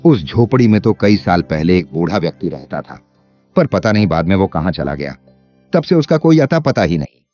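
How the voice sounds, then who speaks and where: Very deep, sly village landlord acted by a man. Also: sing-song, normal speed, mellow. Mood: probing. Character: cunning, greedy village landlord, scheming and falsely wronged. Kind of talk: animated moral story character acting. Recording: dull lo-fi sound under music.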